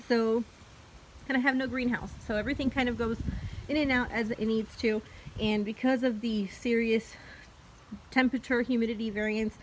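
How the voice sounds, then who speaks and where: A woman who is losing her voice, a hoarse orchid grower chatting casually outdoors with lots of ums and uhs. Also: a little high, slight background noise, rough recording. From Florida. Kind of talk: casual vlog walkthrough. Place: outdoors in a chilly backyard.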